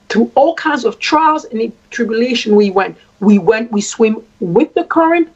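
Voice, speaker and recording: A woman from Congo, a traditional queen, speaking at length in a deliberate way and repeating phrases for emphasis. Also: a little high, husky. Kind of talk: spoken address. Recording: dull lo-fi sound.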